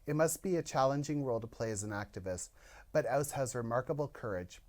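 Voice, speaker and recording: Host: man, mid-pitched, reads a scripted intro steadily. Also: fairly quick. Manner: even, reading prepared remarks. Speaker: podcast co-host.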